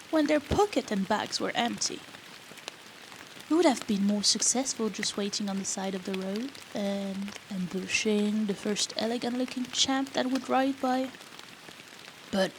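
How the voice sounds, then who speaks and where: A woman's voice: mid-range voice, natural voice. A woman playing a bratty, foul-mouthed female rogue in a fantasy audio roleplay, teasing the listener with cheeky, sarcastic banter. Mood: wistful grumbling. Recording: rain noise behind the voice.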